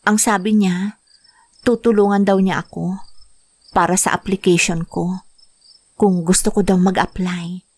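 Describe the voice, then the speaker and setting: An actress playing a young wife and mother who wants to work abroad to help her family, speaking gently and earnestly in a radio drama. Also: medium pitch, little pitch movement, unhurried, pauses often, husky.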